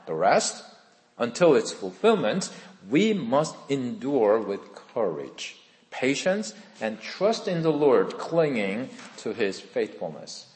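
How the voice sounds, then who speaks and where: Pastor in his 50s, lively up and down preaching voice, big echoey church hall. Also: medium pitch. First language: Korean. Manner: earnest, conversational, asks the congregation questions. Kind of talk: sermon with personal testimony.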